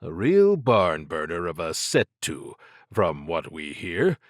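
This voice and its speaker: A man voicing a plain-spoken, steady American investigator in an audiobook, questioning in a matter-of-fact way. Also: deep voice, slow pace, dry recording, clean studio sound, exaggerated voice. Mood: probing. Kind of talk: audiobook character dialogue.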